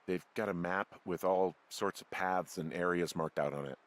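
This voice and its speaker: An actor as a low-voiced, wary American man. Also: natural voice. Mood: wary, observant. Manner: halting, conversational, swearing under stress. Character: wary, rattled American man, a park fire lookout. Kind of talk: naturalistic video game voice acting. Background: background music.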